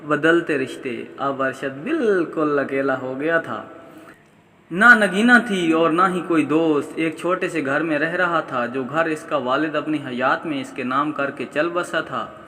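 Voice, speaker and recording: A man's voice: on the high side, measured pace, pushed and bright. A man narrating a scripted story in a dramatic storytelling style. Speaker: audiobook narrator. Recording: long hall-like reverb.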